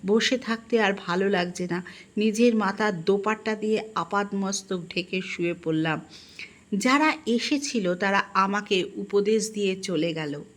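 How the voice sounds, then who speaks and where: A woman reads a first-person literary story in an expressive, melancholic and dramatic manner. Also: medium pitch, measured pace. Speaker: audiobook narrator.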